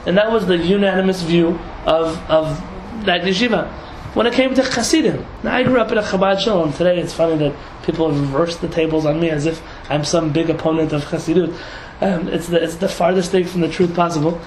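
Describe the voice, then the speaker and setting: A young man from San Diego, a rabbi talking casually to a group, lively and laughing with many restarts and asides. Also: high voice, a little fast, hardly any pauses. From San Diego, United States. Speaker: rabbi teaching a class. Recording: echoey room, audible noise.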